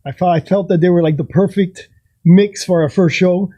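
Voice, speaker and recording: A man's voice: high voice, normal speed, mellow, dull audio. A man co-hosting a podcast, talking casually and eagerly, jumping in to add points. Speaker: podcast co-host. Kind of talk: casual chat.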